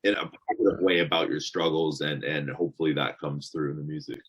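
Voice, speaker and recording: A man's voice: a bass voice, steady tone, fast talker, husky. A man in his thirties with a Canadian accent, a musician guest on a video call, speaking hesitantly with many fillers. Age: 30s.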